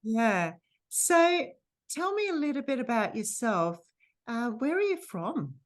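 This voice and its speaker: A woman's voice: a little high, very animated, slow. A woman hosting a podcast, introducing and interviewing her guest in a casual, effusive way with many fillers and restarts. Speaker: podcast host.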